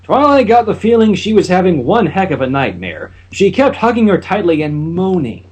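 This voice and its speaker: Brief: higher-pitched male reader, husky, animated reading of a fan story. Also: normal speed. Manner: hesitant, with fillers and restarts. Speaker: fanfic reader.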